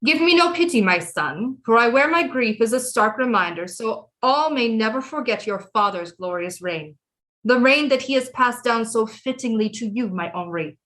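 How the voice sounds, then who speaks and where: Queen mother, played by a woman: regal, stern, in mourning, fairly high voice, stately period audio-play delivery. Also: animated, normal speed, fairly bright tone. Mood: proud, mournful. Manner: formal, stately. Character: grieving, proud Queen Mother, middle-aged, stern and regal. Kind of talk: period audio drama acting.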